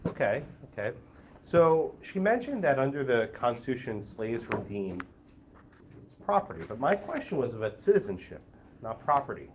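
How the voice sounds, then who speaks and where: A man's voice: mid-range voice, sing-song, moderate pace, muffled recording, slight background noise. A man teaching a law class, calling on students by name and pressing them with questions, a little congested today. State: slightly congested, lower voice than usual. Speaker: law professor. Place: law school lecture room.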